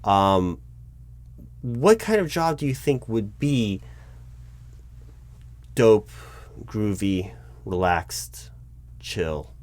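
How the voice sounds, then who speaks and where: Patient low-voiced man running a mock interview. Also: expressive, drawn-out words, pauses often, a little mellow. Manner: patient, slightly exasperated, bureaucratic, with frequent ums and restarts. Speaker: recruiting manager in a roleplay interview. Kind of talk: ASMR-style job interview roleplay. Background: keyboard typing.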